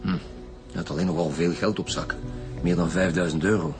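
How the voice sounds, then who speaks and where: Man acting gruff Flemish police chief inspector, low voice, blunt and impatient, suspicious questioning, radio drama, clear music underneath. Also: fairly even intonation, brisk, lightly acted. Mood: suspicious, businesslike. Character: gruff, impatient male chief inspector leading a murder squad.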